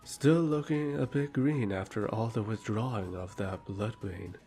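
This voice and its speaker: A man playing a haughty, sardonic noble lord in an audio drama, speaking in a pompous, measured, formal way. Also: fairly low pitch, warm tone, soft background music.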